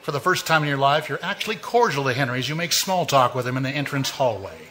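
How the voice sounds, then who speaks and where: A man playing a sinister, all-knowing narrator in a 1940s-style radio mystery, taunting the listener in a measured, ominous way. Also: mid-range voice, lively intonation, sharp tone.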